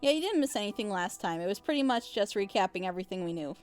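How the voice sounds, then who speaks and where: A woman's voice: mid-range voice, lively intonation, quick pace, soft background music. A woman hosting a casual reaction session with friends, rambling along in a giggly way. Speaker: host of a group reaction show.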